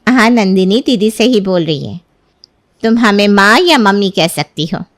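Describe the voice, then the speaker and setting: A woman voicing a brisk younger mother-in-law who scolds but softens easily. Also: medium pitch, animated, dry recording, studio quality, close to an everyday voice. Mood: gentle.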